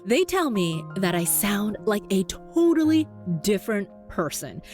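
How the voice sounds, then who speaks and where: A woman in her forties, a native Cantonese speaker, hosting a podcast in an upbeat, clear and deliberate scripted monologue. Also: mid-range voice, very animated, darkish tone, soft background music. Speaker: podcast host.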